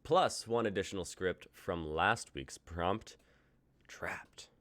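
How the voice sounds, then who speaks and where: A man's voice: low voice, slow, fairly bright tone. A man in his twenties hosting a casual livestream chat, upbeat and jokey as he runs the show. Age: 20s. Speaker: host.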